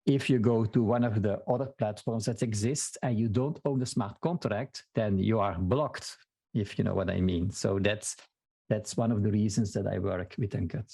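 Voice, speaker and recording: A man from Belgium with a Flemish accent and Dutch as his first language, a podcast guest giving steady, explanatory answers with frequent restarts. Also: fairly low pitch, normal speed, creaky, husky, no room sound. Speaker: podcast guest, marketing strategist. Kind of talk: interview answers.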